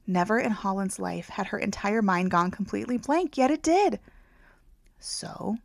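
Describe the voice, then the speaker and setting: A woman reading a romance audiobook as its author, in a dramatic storytelling manner with hushed phrase endings. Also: medium pitch, expressive, moderate pace, creaky. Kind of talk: scripted narration, romance audiobook.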